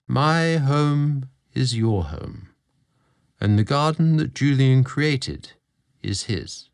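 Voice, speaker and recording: A man voicing a dignified, repentant lord of the manor with a formal, earnest, measured manner. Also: medium pitch, gravelly, exaggerated voice.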